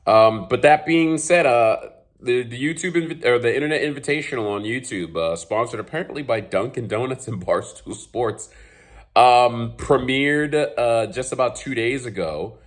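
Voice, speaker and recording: A man's voice: medium pitch, normal speed. A man in his thirties with a slightly scratchy voice from a cold, chatting casually about golf in a home garage, with many fillers and restarts. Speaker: golf YouTuber. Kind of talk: casual vlog commentary.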